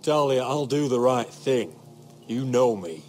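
An actor playing a solemn, protective young lord in a fantasy video game, measured and serious. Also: male voice, mid-range voice, expressive, close to an everyday voice. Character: solemn, battle-scarred young lord, protective older brother. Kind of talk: dramatic video game acting. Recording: music behind the voice.

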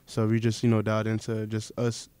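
Mellow, soft-spoken young man at a table mic. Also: on the low side, monotone, fairly quick, clean tone, no room sound. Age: young adult. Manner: soft-spoken, many fillers. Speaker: college basketball player. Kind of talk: postgame press conference answers. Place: press conference room.

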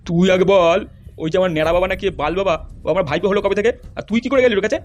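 A man's voice: sits high, very fast, lo-fi sound, close to an everyday voice. A man voicing a furious, foul-mouthed politician husband in a comedy cartoon, scolding and accusing. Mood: angry, accusing.

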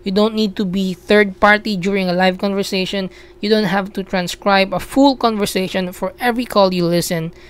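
A Filipino woman talks through an explainer video in a smooth, clear voice. Her pitch sits in the middle range. She keeps it casual, checking in with the listener and restating her points for emphasis. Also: steady tone, moderate pace. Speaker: video host. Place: small indoor room at a computer.

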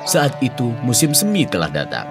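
A man narrating fairy tales as a storyteller, with loud music behind him. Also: mid-range voice, huge pitch swings, moderate pace. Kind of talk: animated fairy-tale narration.

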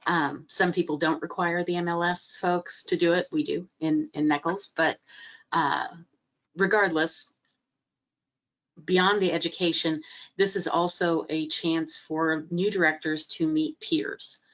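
A woman's voice: low voice, steady tone, unhurried, a bit bright. A middle-aged woman, recovering from a cold and a little stuffy, giving a hesitant webinar talk full of fillers and restarts over a video call. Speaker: guest speaker, library trainer. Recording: muffled video-call audio.

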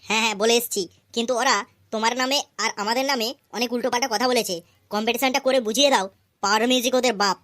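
A woman's voice: a little high, steady tone, racing, fairly bright tone, dry recording, clean studio sound, lightly acted. A woman voicing a loyal, scrappy cartoon sidekick who chatters and teases cheekily. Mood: tattling. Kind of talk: cartoon dialogue.

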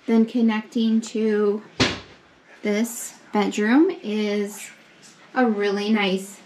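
A young woman giving an upbeat, slow walkthrough of a hotel suite, pointing things out as she goes, recorded on a handheld camera. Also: mid-range voice, little pitch movement, a little mellow, slight background noise. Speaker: vlogger giving a room tour. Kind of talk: casual walkthrough narration. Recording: echoey rooms with faint ambience.

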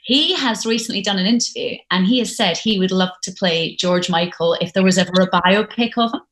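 A woman in her forties hosts a chatty radio interview from home. She speaks casually at a middling pitch, recorded over a video call. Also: brisk, fairly bright tone, poor audio. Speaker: radio host interviewing guests. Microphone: video call device mic.

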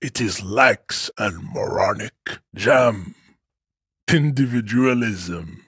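A man's voice: medium pitch, breathy edge, no room sound, studio quality, exaggerated voice. A man playing a towering, pompous, contemptuous racist strongman in a video game, declaiming his pseudo-science grandly. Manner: pompous, slow declamatory delivery. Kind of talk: video game character acting.

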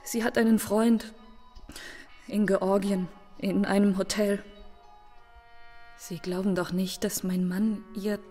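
A very slow female voice plays a grieving, guarded widow in a crime radio drama. She speaks haltingly over soft music. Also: medium pitch, level tone. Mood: hurt, subdued. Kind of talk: German crime radio play acting.

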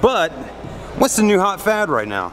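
A man's voice: high-pitched, very animated, normal speed, over music. A man, vlogging with a handheld camera, chatty and enthusiastic. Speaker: car vlogger. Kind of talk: excited casual vlog. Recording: noisy outdoor and car sound.

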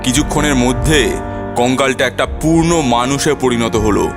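A man's voice: mid-range voice, moderate pace, close to an everyday voice. A man playing a frightened lodger who tells his own ghost story in a dramatic horror cartoon style. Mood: tense narrating. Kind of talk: dramatised first-person horror cartoon narration. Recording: music under the voice.

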